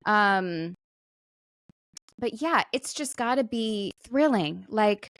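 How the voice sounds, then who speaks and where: A woman podcast co-host with a medium-pitched voice, recorded close and dry. She chats in a casual, comedic style, as if talking news over with a friend. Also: expressive, slow, fairly bright tone, no room sound. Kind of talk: casual comedic talk about celebrity news.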